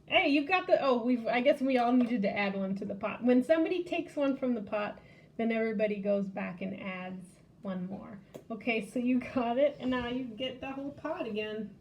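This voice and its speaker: A woman's voice: fairly high pitch, animated, moderate pace, highs cut off, slight background noise. A woman hosting a children's library storytime, casually showing a craft and game step by step in simple words.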